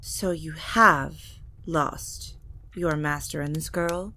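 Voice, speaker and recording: Woman playing an imperious, low-voiced vampire matriarch. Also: bright, forceful tone, heavily acted. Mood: cold, controlled. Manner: slow, deliberate, coldly polite threats. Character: imperious, menacing vampire matriarch, mother and lady of the castle. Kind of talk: audio roleplay drama acting.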